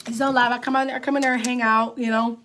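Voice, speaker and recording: A woman with a raspy, lower alto voice, hosting a casual livestream and chatting with viewers as she answers their comments. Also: level tone, fast, fairly bright tone, a little hiss or hum. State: raspy voice from illness. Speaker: livestream host.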